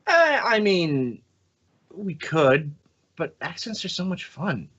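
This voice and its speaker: A man with a lively, swooping delivery and a slightly high voice, chatting casually as a podcast host. He banters playfully. The recording is dull and lo-fi. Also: takes it slowly, slightly rough. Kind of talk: casual chat. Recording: dull lo-fi audio.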